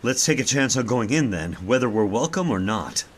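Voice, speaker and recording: A man playing a wry, lively gentleman master. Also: medium pitch, normal speed, background noise, natural voice. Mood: wry, steady. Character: dry, unflappable gentleman master sheltering from a storm. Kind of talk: old-time radio horror acting.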